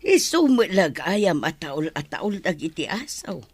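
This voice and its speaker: A woman playing a gentle, caring middle-aged village mother, earnest and motherly, in an audio drama. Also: lowish voice, big pitch swings, unhurried, slightly rough, exaggerated voice. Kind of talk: radio drama dialogue.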